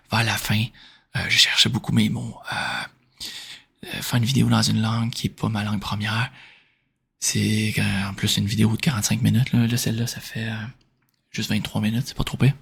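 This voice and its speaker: A man from Quebec with a Québécois French accent, speaking in a soft, breathy whisper as he informally answers questions in an ASMR style. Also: medium pitch. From Quebec, Canada. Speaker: ASMR video creator answering viewer questions.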